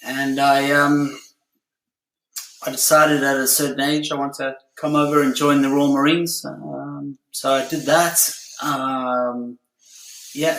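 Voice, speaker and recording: A man introducing himself as an interview guest, hesitant and pausing often. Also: medium pitch, fairly bright tone. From South Africa. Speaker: podcast guest, former Royal Marine. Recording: echoey room.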